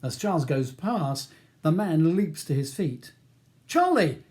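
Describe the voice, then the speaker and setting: Mellow British man narrating a novel. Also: on the high side, expressive, unhurried. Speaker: author reading own novel. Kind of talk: scripted audiobook narration.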